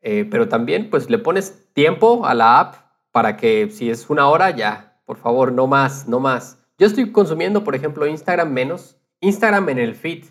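A man's voice: mid-range voice, expressive, normal speed. A man speaking casually as a podcast guest, explaining his thoughts in a reflective way. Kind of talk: casual conversation.

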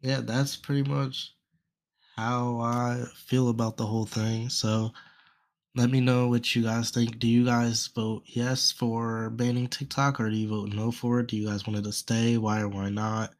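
A man's voice: mid-range voice, little pitch movement, a little slow. A young man hosting a solo podcast in a casual, rambling way, his voice congested from a stuffy nose. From United States. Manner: rambling, many fillers like "and stuff". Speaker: podcast host.

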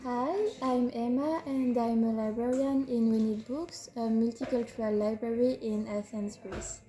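A woman's voice: fairly high pitch, fairly even intonation, unhurried, mellow, poor audio. A woman from France, a librarian, answering interview questions in a hesitant way, stopping to look for words.

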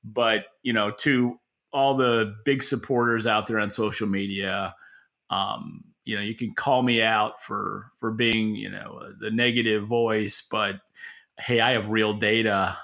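A man's voice: lowish voice, fairly even intonation, a little slow, creaky, pushed and bright. A man co-hosting a podcast, giving casual market commentary in a blunt, wry way. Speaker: podcast co-host.

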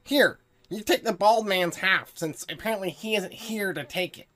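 A woman's voice: medium pitch, moderate pace, fairly bright tone, close to an everyday voice. A woman voicing a meek, polite extraterrestrial with a salesy streak in a sci-fi comedy radio drama. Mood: friendly, salesy. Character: meek, polite extraterrestrial shop-savvy hustler.

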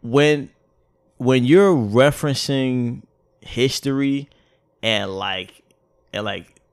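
A man's voice: mid-range voice, extremely slow, gravelly, smooth, dry recording, studio quality. Slangy, musing man on a podcast. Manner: reflective, hesitant, restarts, slangy. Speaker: podcast co-host. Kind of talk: casual podcast chat.

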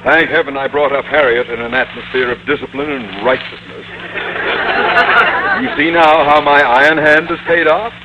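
A man's voice: medium pitch, normal speed, over a noisy crowd, natural voice. An actor playing a pompous, blustering middle-aged father in an old-time radio comedy. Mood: indignant, blustering. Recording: dull, noisy vintage radio recording.

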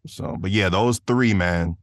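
A man's voice: sits low, unhurried, no echo, studio quality. A man chatting casually as an interview guest, rambling and enthusiastic, often saying "man" and "you know". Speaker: podcast guest, voice actor.

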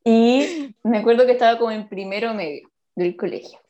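Woman, Chilean accent, twenties, casual chat, lots of slang, somewhat high voice. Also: brisk, highs cut off. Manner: animated, slangy, laughs often. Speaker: storyteller.

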